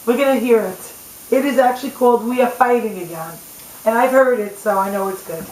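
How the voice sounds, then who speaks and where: Female talk show co-host, higher scratchy voice, casual and opinionated, roomy homemade recording. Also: a little fast, darkish tone. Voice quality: scratchy voice from singing. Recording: echoey room, faint background hiss.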